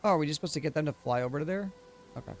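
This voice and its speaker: A man's voice: fairly high pitch, rapid-fire, faint music behind. A man casually commentating on a game livestream in blunt, slangy language with plenty of swearing.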